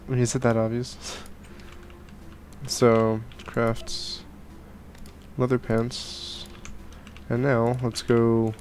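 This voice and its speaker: A man's voice: medium pitch, monotone, extremely slow, long pauses, a little mellow, a little hiss or hum. A young man with a cold, rambling through casual game commentary from a home gaming room, full of fillers and restarts. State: catching a cold. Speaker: gaming channel host. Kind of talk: casual Minecraft let's play commentary. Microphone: headset and studio mic at desk.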